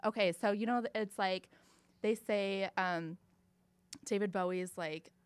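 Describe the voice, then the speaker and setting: A woman's voice: mid-range voice, fairly even intonation, unhurried, clean tone, no echo. A young adult woman, a real estate agent guest, telling a story in casual chat, with many fillers.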